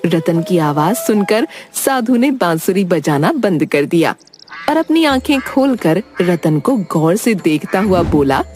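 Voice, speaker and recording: Woman narrating a kids cartoon story, expressive up n down voice, light music behind. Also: lowish voice, unhurried.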